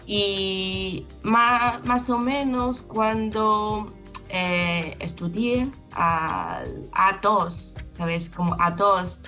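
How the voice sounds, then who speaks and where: A woman's voice: medium pitch, extremely slow, music in the background. A young woman whose first language is Chinese, talking casually over a video call and often searching for words. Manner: hesitant, searching for words, many restarts. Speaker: interview guest, Chinese teacher. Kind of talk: casual interview in learner Spanish. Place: home in Galicia, video call.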